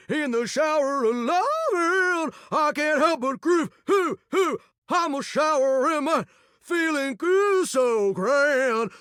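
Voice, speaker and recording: A flamboyant male shower spirit from a video game, who croons and drawls with country flair. His voice sits high and leaps across a wide, lively range. It sounds close and dry, with no room around it. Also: no echo, exaggerated voice. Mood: crooning. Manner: folksy, theatrical, crooning drawl. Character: vain, melodramatic male shower spirit, aspiring singer. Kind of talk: video game character dialogue.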